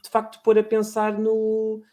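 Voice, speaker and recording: A man with a European Portuguese accent, chatting as a podcast guest, passionate and halting with many restarts. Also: high voice, monotone, mellow. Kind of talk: casual chat.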